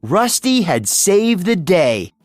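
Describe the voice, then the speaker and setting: A narrator reading a children's read-along storybook in an expressive storytelling manner. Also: mid-range voice, slow, dry recording, clean studio sound, faint music behind.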